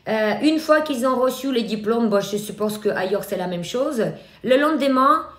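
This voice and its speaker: A woman with a Ukrainian accent and a fairly high voice teaches a live online workshop as an eyelash extension trainer. She speaks in a hesitant way, filling pauses with filler sounds and restarting her sentences. The voice rings in an echoey room. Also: lively intonation.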